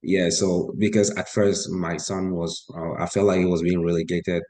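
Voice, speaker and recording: A man's voice: deep voice, flat delivery, normal speed, a little mellow. A man, a guest parent giving a personal account in conversation. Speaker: guest parent on a talk show.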